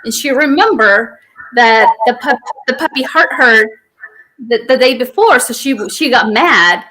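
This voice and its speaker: Woman, interview host, slightly high voice, chatty, animated storytelling. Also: unhurried, poor audio. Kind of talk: conversational interview hosting.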